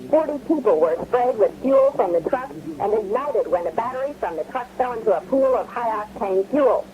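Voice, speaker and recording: An actress playing a grim radio reporter reading disaster bulletins in a measured, dramatic, formal way. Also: female voice, a little high, animated, close to an everyday voice. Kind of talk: scripted 1970s radio news report. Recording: muffled, noisy 1970s radio recording.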